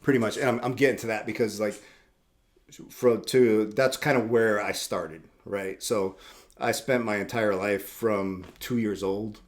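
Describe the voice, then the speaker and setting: Middle-aged male guest, low-pitched, relaxed rambling storyteller who circles back on his words. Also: measured pace. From Puerto Rico. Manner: easygoing, rambling, repeats words, laughs often. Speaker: tattoo shop owner, guest. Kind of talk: casual interview chat.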